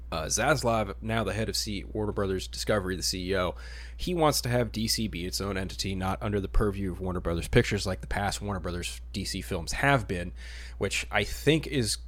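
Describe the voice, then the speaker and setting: Male podcast host, lower-pitched voice, casual chat delivery. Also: expressive, fairly quick, fairly bright tone. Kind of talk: casual chat about superhero films.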